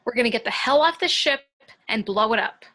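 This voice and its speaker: A woman's voice: fairly high pitch, lively intonation, a little fast, bright, forceful tone. A woman playing a resolute, practical spaceship warrant officer in an amateur sci-fi table read.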